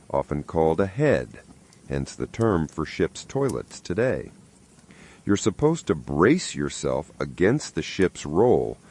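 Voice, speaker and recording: A man's voice: on the low side, a little mellow. A man delivering scripted history narration in a wry, sardonic storytelling manner, addressing the listener directly.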